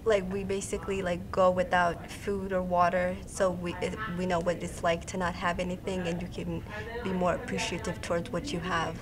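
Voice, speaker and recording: A woman's voice: on the low side, completely flat delivery, normal speed, a crowd in the background. A teenage girl from Kenya, being interviewed for a school TV show and answering with many hesitant ums. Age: late teens. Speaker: interviewed student.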